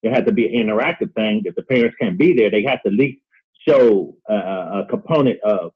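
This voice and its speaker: A husky-voiced man talks earnestly in an open discussion. He moves haltingly, repeating words as he builds his point, and the sound is dull and lo-fi, like a call-in line. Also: lowish voice. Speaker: guest on a call-in discussion show.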